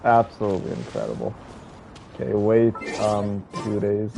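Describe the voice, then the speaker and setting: A man giving casual, earnest reaction commentary as a game streamer, speaking haltingly and repeating words with feeling. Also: fairly low pitch, sing-song, creaky, background noise.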